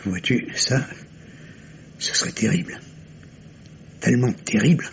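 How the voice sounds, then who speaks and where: A man's voice: medium pitch, unhurried, gravelly. An actor playing an anguished, grave man torn between love and suspicion, in the theatrical style of a 1950s radio mystery. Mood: troubled. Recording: dull, noisy old radio recording.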